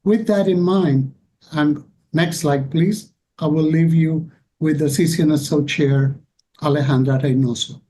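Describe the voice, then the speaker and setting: Mellow higher-voiced man presenting, rumbly noise. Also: fairly even intonation, unhurried. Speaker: conference panelist giving a presentation. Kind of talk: slide presentation.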